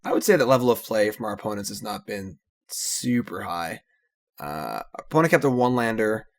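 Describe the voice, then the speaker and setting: Low gravelly man casually commentating a card game. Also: moderate pace. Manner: relaxed, analytical, trailing off with "so". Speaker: card game streamer commentating his play.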